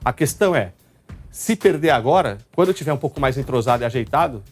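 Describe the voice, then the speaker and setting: A quick-paced male host with a São Paulo state Brazilian accent, at a mid-range pitch, talking football live over background music. His delivery is chatty and colloquial, with some teasing. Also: animated. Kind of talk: casual live football talk show commentary. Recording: music under the voice.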